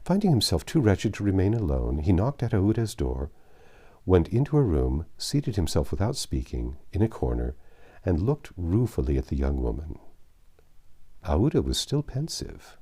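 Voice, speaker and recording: A man with a low, mellow voice narrates a classic novel. His pitch moves freely as he goes, and his delivery is measured and expressive, like an audiobook storyteller. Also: breathy edge.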